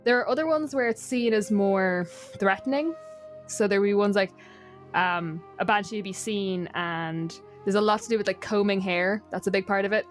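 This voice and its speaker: An Irish woman speaks as a chatty podcast guest at a middle pitch. She tells stories casually, with wry, self-deprecating humour. Faint music plays behind her. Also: normal speed. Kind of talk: casual storytelling chat.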